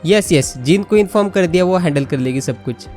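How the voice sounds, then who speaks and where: A woman's voice: lowish voice, animated, racing, darkish tone. A woman with an Indian accent giving chatty, giggly, casual gameplay commentary, switching between Hindi and English. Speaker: gaming video host. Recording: game music behind the voice. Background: video game music and sounds.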